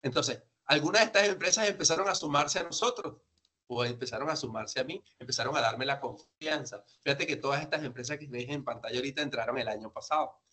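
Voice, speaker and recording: A man's voice: medium pitch, fast, bright, forceful tone. A man working as a sales trainer, presenting informally and instructively, emphatic and conversational, often asking and answering his own questions. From grew up outside Peru, now lives there.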